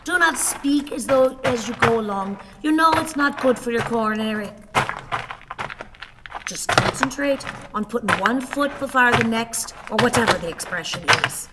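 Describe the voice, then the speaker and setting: Fretful old wife played by an actress, echoing hall. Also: female voice, on the high side, animated, moderate pace, very noisy, lightly acted. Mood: fussing, soothing. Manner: fussing, pleading, doleful. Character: fretful, long-suffering old wife, coaxing and insistent. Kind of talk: theatrical radio play acting. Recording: reverberant hall.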